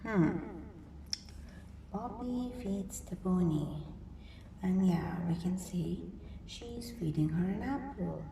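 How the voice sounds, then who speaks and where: A woman reading a picture book aloud to young children, expressively, pausing to think aloud and ask them simple questions. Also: mid-range voice. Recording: roomy, distant mic, clear background noise.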